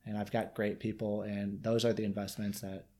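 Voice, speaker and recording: A man's voice: on the low side, little pitch movement, moderate pace, darkish tone. A man from Michigan with a Yooper accent, talking earnestly and reflectively as a guest, with rambling restarts. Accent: Michigan Upper Peninsula. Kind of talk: casual interview chat.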